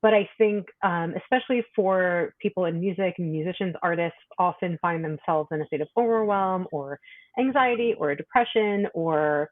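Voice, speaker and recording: Tinny phone-line woman, thoughtful and halting. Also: lowish voice, no echo. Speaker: podcast guest, wellness workshop leader. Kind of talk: conversational advice. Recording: tinny phone-like call audio.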